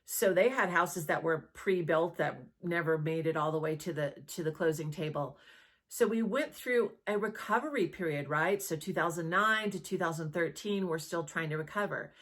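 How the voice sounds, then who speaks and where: A woman real estate agent talking conversationally to camera, explaining as she goes and often checking in with a quick questioning tag. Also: fairly low pitch, a bit bright. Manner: conversational, checks in with rhetorical "right?".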